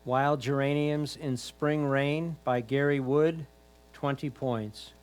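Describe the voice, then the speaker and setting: A man's voice: mid-range voice, fairly even intonation, slow pace, clean tone. Man formally announcing competition results. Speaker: competition announcer reading titles and scores.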